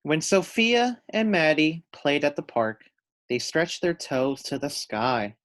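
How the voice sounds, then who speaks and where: A man reading a children's picture book aloud with expressive, teacherly delivery and deliberate phrasing. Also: fairly high pitch. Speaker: read-aloud storyteller.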